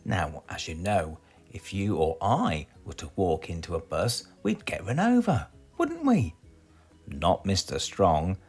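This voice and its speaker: British man, children's storybook read-aloud, swooping intonation, husky low-clarity voice, unhurried, music behind. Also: sing-song, a little mellow. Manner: dramatic, addresses young listeners with questions. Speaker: storybook reader.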